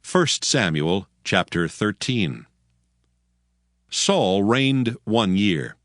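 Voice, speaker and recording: A man's voice: fairly low pitch, sing-song, very slow, a bit bright, no echo, clean studio sound. A man narrating scripture in a solemn, scripted, dramatic storytelling style. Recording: music under the narration.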